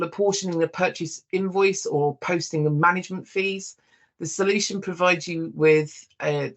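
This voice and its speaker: Software demo presenter, mid-range voice, informal and explanatory, talking straight to viewers through a walkthrough. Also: medium pitch. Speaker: software demonstrator.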